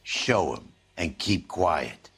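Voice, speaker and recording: An actor playing a world-weary police arson investigator in a video game, terse, blunt and gruff. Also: male voice, low-pitched, expressive, takes it slowly, fairly bright tone, full character voice. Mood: gruff, knowing. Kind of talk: dramatic video game acting.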